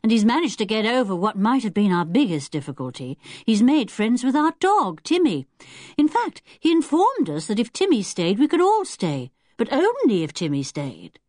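Confident, courteous teenage boy leader for a children's audiobook, voiced by a woman: British, well-spoken, very animated delivery. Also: on the high side, moderate pace, dry recording, studio quality, voice pushed up. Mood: polite, assured. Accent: British English. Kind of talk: children's audiobook character acting.

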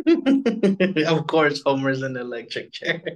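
A man co-hosting in casual chat, tossing in short, jokey reactions and quick to laugh. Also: a little high, very animated. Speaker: co-host of a cartoon countdown show.

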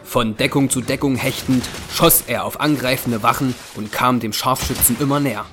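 A man's voice: mid-range voice, moderate pace, background noise. Male narrator for a scripted audio drama, mid-range voice, faint ambience underneath.

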